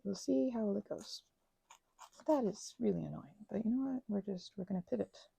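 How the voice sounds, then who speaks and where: A woman making an ASMR craft video, rambling softly with whispered asides, little exclamations and meandering self-interrupting tangents. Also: medium pitch, expressive, normal speed, creaky, breathy edge, no echo. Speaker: ASMR creator.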